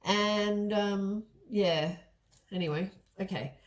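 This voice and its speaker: A woman's voice: medium pitch, slow. A woman with a stuffy, congested voice from a head cold, giving a casual tarot card reading. She rambles and often corrects herself mid-sentence. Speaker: tarot reader.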